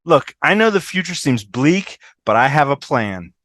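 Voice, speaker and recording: A woman's voice: low voice, big pitch swings, moderate pace, fairly bright tone, no echo, clean studio sound. A woman playing a sardonic, bossy, casual young woman in a sitcom table read.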